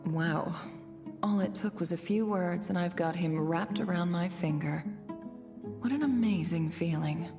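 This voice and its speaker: Smug female envoy for a game: lowish voice, formal, condescending, quietly threatening, music underneath. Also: unhurried. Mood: gloating. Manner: formal, condescending, silky menace. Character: smug, scheming woman posing as a haughty ambassador. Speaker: game voice actor. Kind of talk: dramatic video game voice acting.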